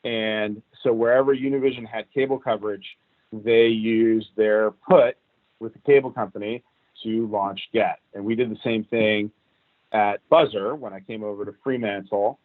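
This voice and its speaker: A man from Cuba, a guest in an interview, telling how his career went in an animated, casual way. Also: fairly low pitch, moderate pace, no echo. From Cuban. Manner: animated, recounts events at length. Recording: tinny phone-like line, dry.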